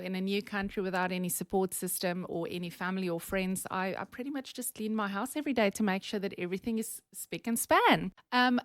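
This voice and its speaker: A woman's voice: medium pitch, dry recording. Woman, Afrikaans accent, forties, chatty guest, laughs a lot, dry close sound. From South Africa. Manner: chatty, laughs often, many um fillers. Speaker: podcast guest. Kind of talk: conversational interview storytelling.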